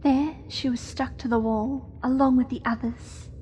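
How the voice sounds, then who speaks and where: An eerily innocent young spider creature from a horror audio drama. The voice is high and soft-edged, with very little rise and fall. It speaks in a hushed, measured, devoted way over noisy ambience. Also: female voice, steady tone. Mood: quiet, devoted.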